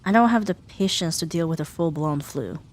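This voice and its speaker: A woman playing a sharp-tongued young female rival in an ASMR roleplay, huffy and sarcastic but grudgingly caring. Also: sits low. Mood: grudgingly soft, dismissive. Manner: sarcastic, huffy, theatrical sighs and drawn-out jabs. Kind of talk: ASMR roleplay audio drama addressed to the listener.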